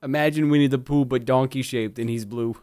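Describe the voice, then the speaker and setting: Mid-pitched co-host, rambling casual banter. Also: fairly even intonation, fairly quick, darkish tone. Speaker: stream co-host.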